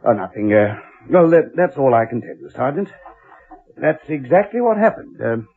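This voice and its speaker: A man's voice: mid-range voice, very animated, normal speed, breathy edge. An actor in a radio drama playing a nervous, evasive married Englishman with a hesitant, polite, stammering way of talking. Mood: flustered. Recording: muffled, narrow-band old radio recording.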